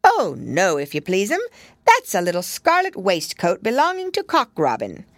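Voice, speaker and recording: A woman's voice: medium pitch, wildly swooping pitch, normal speed, dry recording, studio quality. A woman voicing a stout, anxious hedgehog washerwoman who talks humbly and keeps repeating polite phrases. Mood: fussy, polite.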